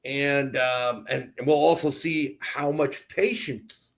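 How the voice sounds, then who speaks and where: A man's voice: medium pitch, fairly even intonation, slow, vocal fry, fairly bright tone. A man with an American accent chatting casually about sports as a livestream co-host, blunt and opinionated.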